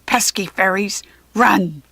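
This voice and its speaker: A woman voicing an angry, bossy male goblin from a children's storybook, squawking loudly. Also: medium pitch, slow pace, gravelly, husky, no echo, heavily acted. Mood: alarmed, yelling. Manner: loud, squawking, shrieking.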